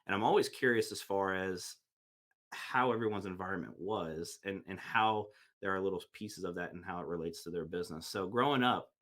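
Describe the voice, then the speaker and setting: A man's voice: low voice, fairly quick, a bit bright. A man hosting a podcast, chatting casually and rambling with many fillers and restarts. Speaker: podcast host. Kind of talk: casual interview chat.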